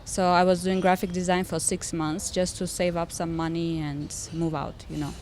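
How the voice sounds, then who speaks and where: A woman whose native language is Armenian talks as a podcast guest. Her voice is a little low, and she speaks in a casual storytelling way. Some background noise and ambience sit behind her. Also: flat delivery, normal speed, a little mellow. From Armenia and Russia. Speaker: interview guest, interior designer.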